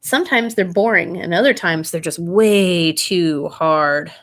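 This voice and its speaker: A woman reading a children's bedtime story aloud in an expressive, animated way, putting questions to young listeners. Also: on the low side, measured pace.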